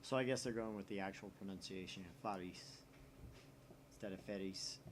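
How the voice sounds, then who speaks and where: A man's voice: low-pitched, a little fast, slight background noise. A young man hosting a gaming video, reading visual novel narration aloud with wry asides. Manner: wry, reads narration with commentary. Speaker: let's-play host.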